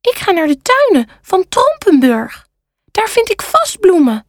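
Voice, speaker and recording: A woman's voice: very high voice, very animated, unhurried, no echo, clean studio sound, pitched above the performer's own voice, exaggerated voice. A woman voicing a kind, curious young male panda in a children's story, speaking earnestly. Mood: caring, eager.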